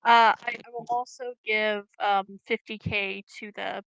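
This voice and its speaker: A woman's voice: mid-range voice, level tone, a little slow, creaky, fairly bright tone, clean tone, no echo, poor audio. A woman playing a tabletop game, stammering and hesitant when she speaks. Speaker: player. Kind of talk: casual tabletop game chat.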